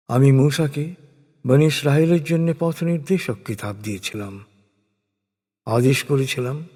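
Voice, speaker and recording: A man's voice: medium pitch, darkish tone. Man reading solemnly in long reverb. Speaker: narrator of a scripture translation. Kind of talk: solemn scripted religious recitation in prose. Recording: long reverberant hall-like echo.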